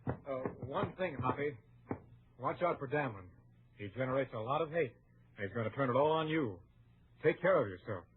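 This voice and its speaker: A very husky frontier townsman, earnest and plucky. He acts in an old radio western. The sound is narrow and tinny. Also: medium pitch, lively intonation, moderate pace, pauses often. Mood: earnest warning.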